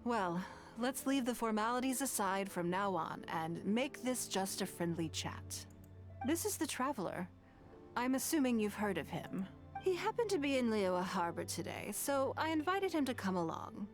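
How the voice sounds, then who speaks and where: A woman's voice: a little high, big pitch swings, moderate pace, faint music behind. A woman playing a gracious, self-assured noblewoman in a video game, speaking in a polished, composed way.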